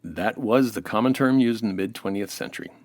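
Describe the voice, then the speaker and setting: A man's voice: fairly low pitch, a little fast, dry recording. An American man as an interview guest, giving an affable, informative explanation in a semi-scripted style.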